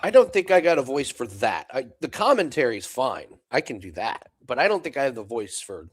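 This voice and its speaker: A man's voice: medium pitch, sing-song, fairly quick. An American man co-hosting a casual live darts chat, laughing often and calling the scores play by play. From United States. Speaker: co-host calling darts bets.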